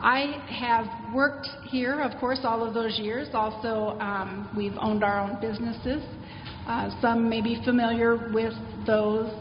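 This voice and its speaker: A woman's voice: on the high side, level tone, takes it slowly. A woman in her fifties speaking eagerly and steadily as a candidate giving an opening statement. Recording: echoey hall, dull sound, noisy.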